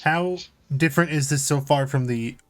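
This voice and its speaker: A man's voice: a little high, normal speed, soft background music. A man co-hosting a podcast, reading game rules aloud and commenting on them in a laid-back, informal way. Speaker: podcast co-host.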